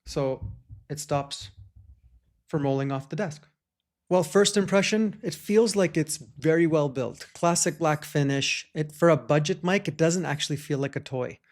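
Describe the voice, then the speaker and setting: Man, gear review host, fairly high voice, enthusiastic, casual, shotgun mic. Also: measured pace. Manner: enthusiastic, chatty, with small restarts. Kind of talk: product review talk. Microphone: shotgun microphone.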